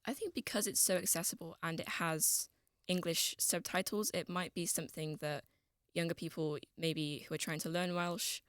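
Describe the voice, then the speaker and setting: A young woman guest on a podcast, calmly retelling a story in a measured way. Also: fairly low pitch, no room sound, clean studio sound. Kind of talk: casual chat.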